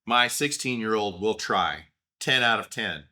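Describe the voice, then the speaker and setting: A man's voice: on the low side, lively intonation, unhurried, pushed and bright. A man in his thirties with an Oklahoma accent, telling rambling, self-deprecating stories in a casual solo talk.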